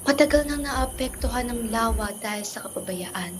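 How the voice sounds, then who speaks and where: A woman's voice: on the high side, expressive, moderate pace, background noise, natural voice. A woman playing a solemn, protective lake fairy in an amateur radio drama, with a Filipino accent and formal manner. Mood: grave, hopeful.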